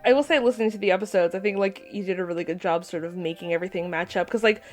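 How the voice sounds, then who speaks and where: A woman's voice: fairly low pitch, very fast, soft background music. A woman voice actor chatting casually about her work. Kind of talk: casual chat.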